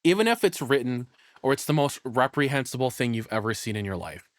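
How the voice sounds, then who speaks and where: A man's voice: medium pitch, animated, a little fast, no room sound. A man giving casual reaction commentary as a streamer, rambling, opinionated and free with swearing.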